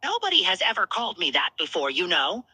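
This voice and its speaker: A woman as a bright, teasing little villain. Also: lowish voice, huge pitch swings, a little fast, husky, dry recording, full character voice. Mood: teasing, flirtatious. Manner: playful, teasing, seductive. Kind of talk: fan dub of romance roleplay.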